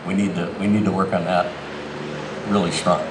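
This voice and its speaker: A middle-aged man, speaking plainly and haltingly as a candidate at an outdoor forum. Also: low voice, little pitch movement. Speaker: city council candidate and incumbent. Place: outdoors in a town park. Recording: echoey outdoor PA with clear background noise.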